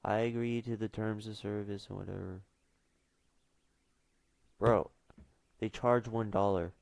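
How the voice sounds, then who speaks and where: Teenage boy, low voice, laid-back, mumbly, dry close mic. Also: little pitch movement, unhurried, creaky, a little mellow, no room sound. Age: teens. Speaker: streamer. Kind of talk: casual livestream chat.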